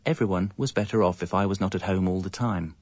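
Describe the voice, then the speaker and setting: A man's voice: low voice, fast talker, darkish tone, dry recording, highs cut off. A male actor with an English accent playing a discontented, evasive young father, narrating a scripted radio comedy in a wry, confessional way. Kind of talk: scripted first-person comic narration.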